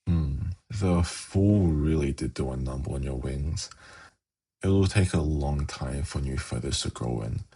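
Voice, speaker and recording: A man playing a suave, haughty demon prince in an ASMR fantasy roleplay, speaking in a measured, formal, silkily condescending way with a gravelly, husky voice. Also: a bass voice, dark tone, full character voice. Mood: soft, coaxing.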